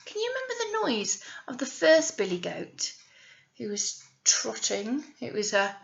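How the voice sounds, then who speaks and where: A woman teacher reading a picture story aloud to young children, drawing out key words and pausing to ask them questions. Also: on the high side, wildly swooping pitch, vocal fry. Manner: exaggerated, drawn-out words with questions to the listeners. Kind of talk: animated read-aloud storytelling with asides to children.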